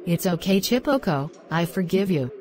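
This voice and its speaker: A man voicing a patient young girlfriend in a homemade skit, speaking calmly and gently scolding. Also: high voice, moderate pace, slight background noise, voice pushed up. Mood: sweet. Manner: calm, soothing, gently scolding.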